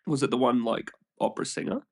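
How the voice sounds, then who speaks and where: A man's voice: medium pitch, lively intonation, fast, vocal fry, darkish tone, slightly rough, no echo, studio quality. An Australian man co-hosting a casual podcast, stuffed up and sniffly from a cold, whingeing about it in a laid-back, joking way. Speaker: podcast co-host. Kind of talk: casual chat.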